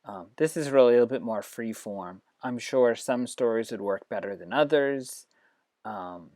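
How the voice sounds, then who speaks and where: Educator, instructional demo, informal and explanatory, medium pitch, dry recording. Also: normal speed, a little mellow, no room sound. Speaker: educator demonstrating reading apps. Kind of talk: instructional screen demo with read-aloud passages.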